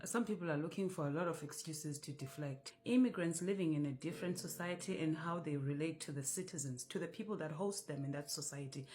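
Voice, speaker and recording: Female commentator, deep-pitched, calm argumentative explanation. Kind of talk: direct-to-camera commentary.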